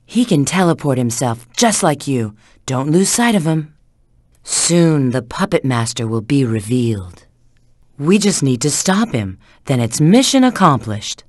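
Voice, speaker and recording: A woman's voice: low-pitched, a little mellow, no echo, clean studio sound. A woman voicing a sly, flirtatious, confident treasure-hunting agent in a video game, giving hints and callouts with teasing assurance.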